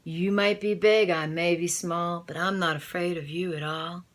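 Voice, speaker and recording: A woman's voice: fairly low pitch, steady tone, moderate pace, lightly acted. A woman voicing a cheeky, fearless tiny girl in a children's storybook. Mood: teasing. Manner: cheeky, bold.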